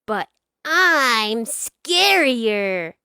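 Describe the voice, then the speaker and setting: A woman's voice: a little high, very animated, very slow, noisy background, full character voice. A woman voicing a young boy cat, eager to scare and easily discouraged, with dramatic exclamations and sighs, in a storybook reading. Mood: proud.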